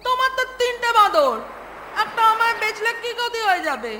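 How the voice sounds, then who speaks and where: Super high cheeky little village boy, cartoon style, echoey with music behind. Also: very animated, fairly quick, background noise. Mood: eager. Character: cheeky, lazy young village boy, fond of his monkey. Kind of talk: Bengali cartoon story acting.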